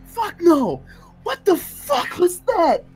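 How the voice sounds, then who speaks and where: A shaken, world-weary private investigator, played by a woman in a tabletop roleplay game. The voice is high and swings widely in pitch, swearing in disbelief and hammering every point, with music underneath. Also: huge pitch swings, slow pace, darkish tone, full character voice. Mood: indignant, shaken. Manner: profane, incredulous, emphatic.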